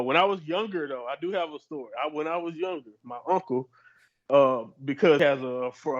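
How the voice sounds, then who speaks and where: Laid-back man on a lo-fi mic. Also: a little high. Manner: laid-back, laughs at himself, rambling. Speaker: podcast co-host. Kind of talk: casual chat. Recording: dull lo-fi mic.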